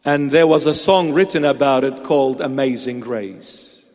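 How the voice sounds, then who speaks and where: A man's voice: medium pitch, normal speed, a little mellow. A man preaching a sermon, emphatic and repetitive, asking rhetorical questions with playful asides. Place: church hall. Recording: long reverb of a large hall.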